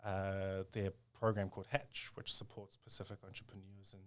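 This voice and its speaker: A man with a New Zealand accent talking casually as an interview guest, in an informal and halting way. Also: sits low, monotone. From South Auckland, New Zealand. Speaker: podcast guest, design studio co-founder.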